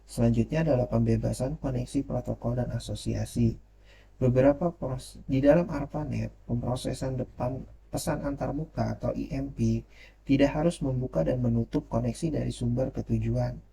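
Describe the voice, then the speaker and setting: A man presents material in a formal, read-aloud lecture style. His voice is very dark and soft-edged, with a creaky grain and a mid-range pitch. He stumbles now and then as he reads, over a faint noisy ambience. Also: fairly even intonation, normal speed. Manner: formal, reading with occasional stumbles. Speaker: presenter reviewing a technical paper.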